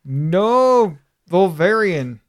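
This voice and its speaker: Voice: medium pitch, very animated, extremely slow. A podcast co-host who riffs and jokes in casual, comedic conversation. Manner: joking, riffing.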